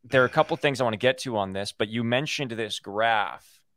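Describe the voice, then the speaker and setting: A man's voice: mid-range voice, fairly quick, fairly bright tone. A man with a young-sounding voice co-hosting a casual podcast, rambling with lots of "like" fillers and the odd joke. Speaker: podcast co-host.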